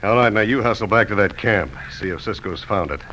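An actor playing a commanding, ruthless outlaw boss with an American accent in a vintage radio western. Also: male voice, on the low side, brisk, pushed and bright, a little hiss or hum. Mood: commanding, scheming. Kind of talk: 1950s radio western acting. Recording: muffled old radio recording.

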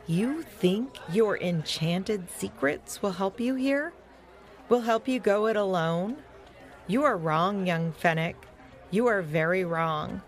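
A woman voicing a stern, sly male coyote leader in a children's story, speaking deliberately and with authority. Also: mid-range voice, soft background music, pitched above the performer's own voice, natural voice. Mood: icy, stern.

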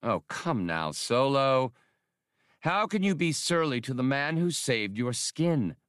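A man's voice: medium pitch, very animated, takes it slowly, a bit bright, clean tone, no echo, studio quality, pitched above the performer's own voice. A man voicing a suave, condescending gunman villain with polite, mocking formality, in an audiobook.

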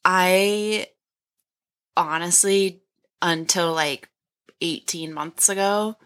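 Woman, young adult, podcast co-host, lowish pitch, bright tone, hesitant, reflective. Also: drawn-out words, a little hiss or hum. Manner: thoughtful, hesitant, lots of "like" fillers. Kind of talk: reflective casual chat.

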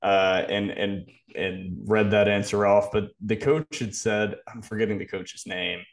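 Man, lowish voice, casual sports analysis, podcast co-host. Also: flat delivery, measured pace, crowd noise.

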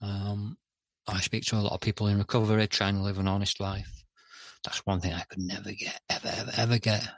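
Hesitant low-voiced man, dry sound. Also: level tone, brisk, creaky, no room sound. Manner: hesitant, many "um"s and restarts. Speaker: podcast guest. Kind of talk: candid interview talk.

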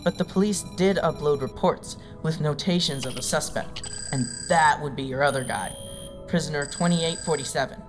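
A woman's voice: deep voice, moderate pace, close to an everyday voice. A woman playing a federal technical analyst in an audio drama, with a wry, rambling, informal way of talking. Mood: informative, wry. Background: music bed.

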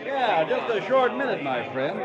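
A man's voice: high voice, sing-song, normal speed. An actor playing a chummy, overbearing traveling salesman with a folksy, pushy way of talking, in 1940s radio drama style. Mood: hearty, chummy. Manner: loud, folksy, pushy, chuckling backslapper. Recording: dull old radio recording, crowd bustle behind.

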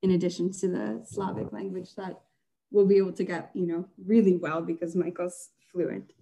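Chatty young woman with a mellow voice. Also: fairly low pitch, level tone, normal speed, gravelly, a little hiss or hum, poor audio. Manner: chatty, laughs often, many fillers. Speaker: guest. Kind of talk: casual interview chat.

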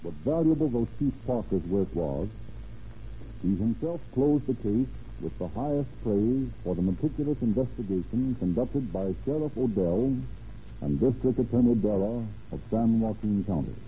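A man's voice: lowish voice, expressive, moderate pace, mellow. A male narrator delivers formal, declamatory 1930s radio narration. His voice comes through a thin, narrow band with light background hiss. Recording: tinny, hissy old radio recording.

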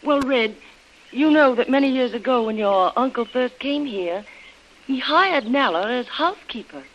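An actress playing a composed, earnest plantation wife in 1930s radio style, speaking in measured phrases. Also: female voice, sits high, lively intonation. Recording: dull, noisy old radio recording.

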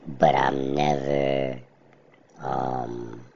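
A middle-aged man, talking casually about his own life in a level, monotone, plainspoken way. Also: rumbling low, gravelly. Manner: unhurried, reflective, plainspoken. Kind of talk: casual personal monologue. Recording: slightly dull lo-fi recording.

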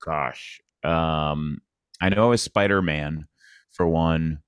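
A man's voice: very deep voice, steady tone, slow, clear, resonant tone, dry recording, dull audio. A man chatting casually as an interview guest, rambling and self-deprecating. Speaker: podcast guest, comedian, actor and sports PA announcer.

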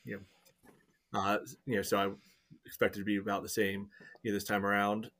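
Male podcast host, lower pitch, halting delivery full of fillers, casual. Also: little pitch movement, fairly bright tone. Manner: hesitant, heavy use of "you know" and "uh".

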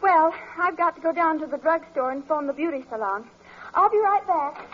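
Breezy teen girl, very high voice, vintage radio sitcom, dull recording. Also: lively intonation, moderate pace, a little hiss or hum. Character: breezy teenage daughter in a family comedy. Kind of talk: 1940s radio sitcom acting.

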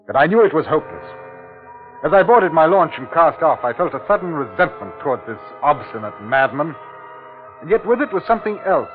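Grave, urgent official, tinny vintage radio. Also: male voice, a little high, very animated, fairly quick. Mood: wondering. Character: earnest, alarmed male district commissioner warning a planter. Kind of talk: 1950s radio drama narration and dialogue. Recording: tinny 1950s radio recording with music.

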